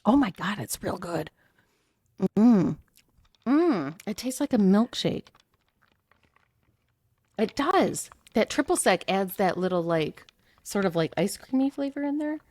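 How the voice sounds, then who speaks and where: A woman co-hosting a casual podcast, relaxed and chatty, with lots of "like" as she talks. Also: medium pitch, a little slow, pauses often, no echo. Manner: relaxed, chatty, says "like" a lot. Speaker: podcast co-host. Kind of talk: casual chat. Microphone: studio mic with headphones.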